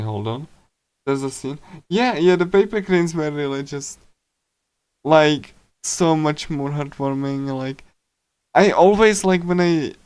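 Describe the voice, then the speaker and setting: A man livestreaming in a casual, rambling chat style, speaking haltingly with lots of filler words. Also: a little high, a little mellow, smooth, dry recording. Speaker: livestreamer reading a visual novel.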